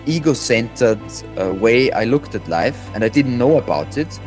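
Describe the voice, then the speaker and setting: A man's voice: medium pitch, animated, unhurried, faint music behind, low-quality recording. A young adult man from Austria with an Austrian accent, chatting casually as a podcast guest and often repeating words as he thinks.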